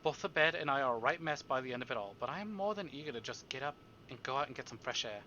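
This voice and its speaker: A man's voice: mid-range voice, lively intonation, fast talker, pushed and bright, muffled recording, a little hiss or hum. A man live streaming, reading a story aloud and adding playful, exclamatory asides to his chat. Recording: music behind the voice.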